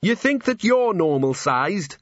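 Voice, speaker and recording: An overweight middle-aged sergeant in a high, strained panic, as a male reader puts him on, his words slow and squeaking upward. The recording is dry, close and slightly muffled. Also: very animated, dry recording, heavily acted. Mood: panicked.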